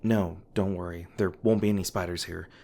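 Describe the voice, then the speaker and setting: A man playing a weary, guarded monster hunter in an audio roleplay, gruff but caring, with halting, sardonic, sweary speech. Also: sits low, steady tone, dark tone. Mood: wry, casual. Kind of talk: audio roleplay, one-sided dialogue to the listener. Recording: noisy ambient sound effects behind voice. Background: ambient sound effects.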